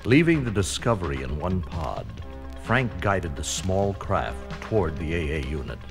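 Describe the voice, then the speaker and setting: Deep, gravelly male storyteller. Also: sing-song, measured pace. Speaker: narrator. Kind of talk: dramatic scripted storytelling for children's read-along. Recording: music under the voice.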